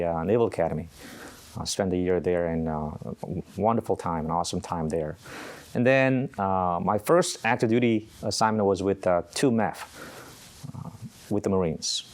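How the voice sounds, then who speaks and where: A middle-aged man from Korea, a Navy chaplain answering interview questions in an unhurried way, often pausing on uh. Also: low voice. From South Korea. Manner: unhurried, halting with frequent uh pauses.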